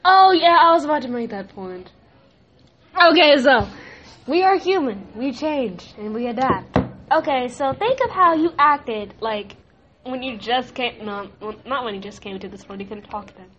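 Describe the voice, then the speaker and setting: A woman's voice: high-pitched, lively intonation, normal speed, fairly bright tone, lo-fi sound, slight background noise. A young woman hosting a casual podcast chat with friends in a library room, laughing often and bossing the others playfully. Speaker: podcast host.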